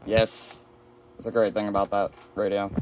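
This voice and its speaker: A man with a fairly low voice talks casually through a home show-and-tell of his collection. He presents item after item in an informal vlog style, with faint noise on the recording. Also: little pitch movement, normal speed, low-quality recording. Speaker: collector presenting a weather radio shelf tour. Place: indoor room at home with a shelf of radios.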